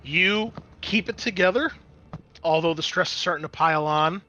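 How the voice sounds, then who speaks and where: A man's voice: fairly high pitch, normal speed, bright, forceful tone, lo-fi sound. A man narrating a tabletop roleplaying game as game master in a casual, conversational way, swearing freely.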